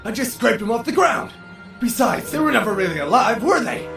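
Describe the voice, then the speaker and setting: A man's voice: very high voice, sing-song, fairly quick, vocal fry, roomy sound, distant mic, music in the background, full character voice. A man playing a volatile, trigger-happy robot in a motion comic, manic, sardonic and taunting. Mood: agitated defiance. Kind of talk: motion comic character acting.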